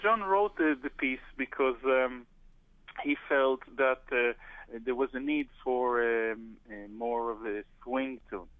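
A man's voice: mid-range voice, takes it slowly, no room sound. A man who grew up in Israel, a touring drummer giving a phone interview, speaking hesitantly with many fillers and restarts. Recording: tinny phone line, dry.

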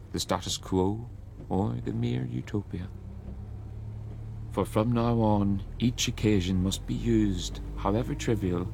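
A man giving a measured, declamatory reading of a long poem. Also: on the low side, creaky, darkish tone, slight background noise. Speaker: poetry reader. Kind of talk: dramatic poetry reading.

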